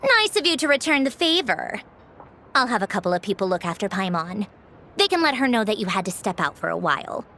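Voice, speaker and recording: A sardonic woman engineer in command for a video game. Her delivery is animated and swings widely in pitch. She is blunt and easily exasperated, used to giving orders. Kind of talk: video game character dialogue.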